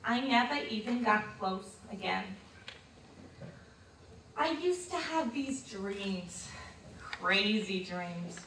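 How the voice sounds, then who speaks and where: An actress playing a reticent, embarrassed old lady reminiscing about her youth in a theatrical stage monologue, hesitant and confiding with dramatic pauses. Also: mid-range voice, expressive, a bit bright. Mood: sheepish. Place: live stage performance in a hall. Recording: distant mic in echoey room, noisy.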